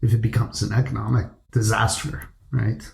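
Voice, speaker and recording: Guy hosting a chat, bit of vocal fry, roomy sound. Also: fairly low pitch, level tone, moderate pace. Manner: conversational, asks follow-up questions. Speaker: interview host, real estate agent. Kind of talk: casual interview talk.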